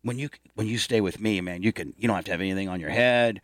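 A man's voice: lowish voice, fast, no room sound, studio quality. A man hosting a rowdy comedic talk show, rambling and repeating himself in crude banter. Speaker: radio show host.

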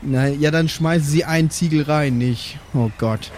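Man as impatient haggling customer, northern German boatman, raised pitch, hesitant, tabletop roleplay, faint background noise. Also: normal speed, darkish tone, lightly acted. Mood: exasperated. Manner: hesitant haggling, tags sentences with "nicht?".

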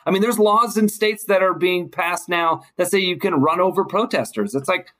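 A man's voice: sits high, normal speed, fairly bright tone. A man speaking earnestly and urgently as a guest in a political podcast discussion. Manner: earnest, urging.

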